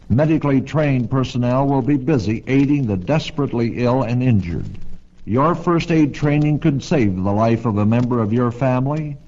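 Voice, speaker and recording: A man, an official, reading a public service message in a measured, formal way. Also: mid-range voice, dark tone. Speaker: government official. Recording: dull vintage broadcast recording.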